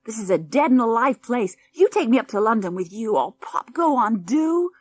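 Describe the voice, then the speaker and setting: A loud, pushy American boy of about eleven from a children's storybook, acted by a woman. He is pitched high and whines rudely, demanding things in an American accent. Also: lively intonation, moderate pace. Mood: pleading, whiny. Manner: rude, demanding.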